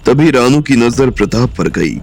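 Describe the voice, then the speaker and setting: A man narrating a romantic crime audio drama in a measured storytelling manner. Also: mid-range voice, expressive, darkish tone, faint music behind.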